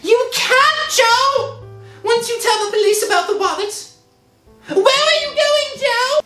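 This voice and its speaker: Very high-pitched anxious young lady. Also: animated, slow, pushed and bright, exaggerated voice. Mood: alarmed. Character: anxious, placating young woman. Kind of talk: old-time radio drama acting. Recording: echoey, faint music underneath.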